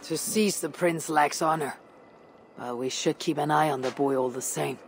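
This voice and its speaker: A woman playing a stern, honor-bound Viking leader, speaking in a measured, grave way. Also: sits low, in a large hall, slight background noise.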